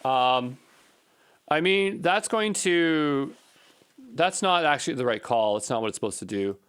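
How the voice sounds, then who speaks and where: A man's voice: fairly high pitch, lively intonation, unhurried, fairly bright tone, clean tone. Casual man thinking aloud while coding. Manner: informal, muttering to himself while troubleshooting. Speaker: programmer recording a coding session.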